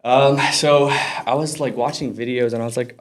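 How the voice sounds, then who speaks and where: A man's voice: medium pitch, fairly even intonation, moderate pace. A man in his thirties, born in Lebanon and raised in Florida, chatting easygoingly as a podcast guest about his work as a doctor. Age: 30s. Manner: easygoing, often repeats "yeah, yeah". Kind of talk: casual podcast chat.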